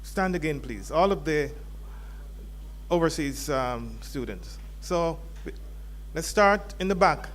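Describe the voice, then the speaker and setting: A man's voice: fairly high pitch, lively intonation, takes it slowly, slight background noise. A man giving a welcome address to students as faculty dean, informal and joking with the audience in a lecture hall. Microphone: handheld microphone.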